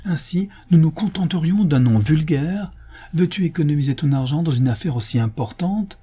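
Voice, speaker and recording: A man's voice: medium pitch, expressive, a little fast, mellow, slightly rough, pitched above the performer's own voice. A man voicing an indignant, insistent wife and mother in a storybook reading, scolding and urgent. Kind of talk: audiobook character acting.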